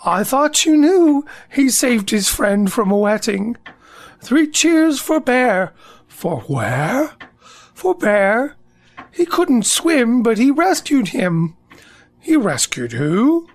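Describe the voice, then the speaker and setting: A woman's voice: high-pitched, sing-song, slow pace, darkish tone, heavily acted. An actor, a woman, playing a naive, self-important, food-loving bear in a children's audio drama, musing in a hesitant singsong. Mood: singsong, puzzled.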